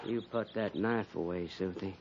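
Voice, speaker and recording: An actor playing an easygoing, cautious cavalry private with a folksy American drawl in a 1950s radio western. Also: male voice, sits low, normal speed, a little mellow. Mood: wary, tense. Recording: muffled, noisy 1950s radio recording.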